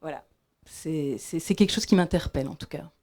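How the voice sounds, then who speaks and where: A woman from Belgium, asking a reflective question from the audience with many pauses and restarts. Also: deep voice, a little mellow. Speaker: audience member. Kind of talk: question from the floor.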